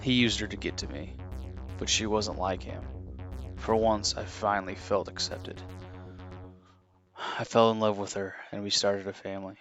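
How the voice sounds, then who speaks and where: A man's voice: on the low side, steady tone, moderate pace, pauses often, a bit bright, faint music behind. A man playing an earnest, haunted former assassin confessing his story in an audio drama. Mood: grave, regretful.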